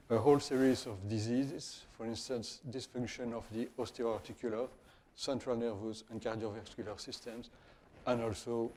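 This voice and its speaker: A male professor from Belgium, a native French speaker with a Belgian French accent, giving a scientific lecture in halting, deliberate phrases with self-corrections. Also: mid-range voice, mellow. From Wallonia, Belgium.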